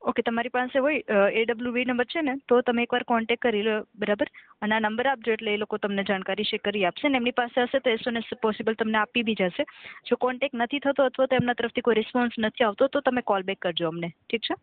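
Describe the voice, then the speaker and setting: A woman works as a customer care agent on a narrow, tinny phone line. She talks quickly in a courteous, scripted manner, often checking that she has been understood. The sound is dry, with no room around it. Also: a little high, no room sound. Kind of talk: scripted, polite customer service phone talk. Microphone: telephone call.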